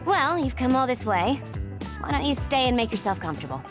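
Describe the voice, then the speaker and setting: A woman's voice: high voice, very animated, brisk, over music. A woman playing a laid-back, lazy veteran captain in an English anime game, casual and teasing.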